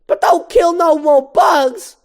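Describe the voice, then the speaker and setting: A frantic, loudmouthed male mosquito from an animated film, played by a woman. The voice is pushed very high and loud, yelling in a brash stand-up comic's style. The manner is wild and streetwise. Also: takes it slowly. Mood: panicked yelling. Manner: yelling, impersonating a brash comedian. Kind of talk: animated film script read-through.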